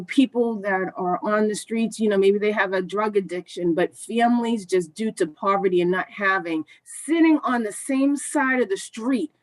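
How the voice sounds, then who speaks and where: A woman's voice: a little high, rough recording. Earnest woman telling a travel story. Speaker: co-host. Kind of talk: casual storytelling chat.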